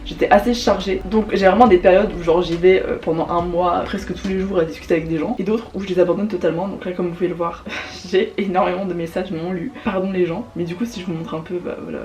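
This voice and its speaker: Chatty young Frenchwoman, fast and slangy. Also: lowish voice, steady tone, darkish tone, far from the mic. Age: young adult. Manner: chatty, slangy, many fillers and asides. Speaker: YouTuber recommending apps. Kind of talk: casual vlog chat with screen demo.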